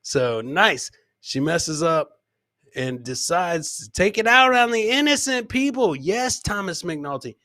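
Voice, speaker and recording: A man's voice: sits high, sing-song, a little slow, bright, forceful tone, no echo, clean studio sound. A middle-aged man hosting a live comedy show, bantering casually with chat and repeating phrases like a hype man. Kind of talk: casual livestream banter, reacting to clips and chat. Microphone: close podcast mic on boom, headset.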